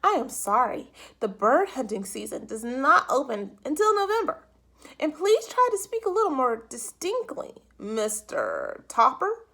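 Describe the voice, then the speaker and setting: A woman voicing a confused city clerk on the phone who speaks slowly and overly carefully. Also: high voice, wildly swooping pitch, bright, forceful tone, voice pushed up, heavily acted. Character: confused slow-talking male city clerk.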